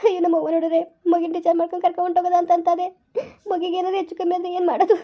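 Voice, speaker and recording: A woman's voice: very high-pitched, monotone, rapid-fire, highs cut off. A woman voicing a desperate young mother who cries and pleads over her sick son. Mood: worried. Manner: tearful, pleading, sobbing. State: crying.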